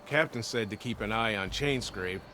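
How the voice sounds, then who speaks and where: A man's voice: fairly low pitch, normal speed, pushed and bright, a little hiss or hum. A man playing a brash, good-humoured soldier in a video game, with a colloquial, wisecracking manner. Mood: wry. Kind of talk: video game cutscene acting.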